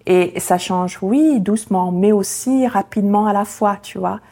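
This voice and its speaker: Invitée d'interview, femme de 40 ans, accent italien, timbre sombre et feutré, réfléchie et hésitante. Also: female voice, medium pitch, a little slow, mellow. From Italy. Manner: reflective, many hesitations with euh. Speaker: guest, founder of a language association. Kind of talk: conversational interview.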